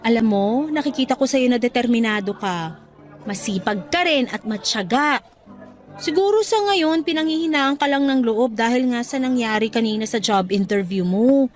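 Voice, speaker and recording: A woman acting as an affectionate, encouraging young girlfriend in a radio drama, speaking in a coaxing, animated way. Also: on the high side, normal speed, faint music behind, close to an everyday voice. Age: young adult. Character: supportive, upbeat young girlfriend cheering on her partner. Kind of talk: Tagalog radio drama dialogue.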